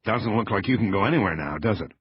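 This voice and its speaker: A man's voice: fairly low pitch, lively intonation, quick pace, husky, muffled recording. A man playing a menacing federal agent in an audiobook, taunting and threatening in a hard-edged way. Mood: aggressive.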